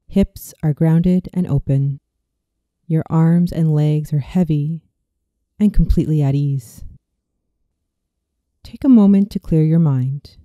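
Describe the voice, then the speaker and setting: A speaker in their thirties reading a scripted guided visualization in a soothing, slow and deliberate way, as a meditation narrator. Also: medium pitch, dark tone, dry recording, clean studio sound. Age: 30s.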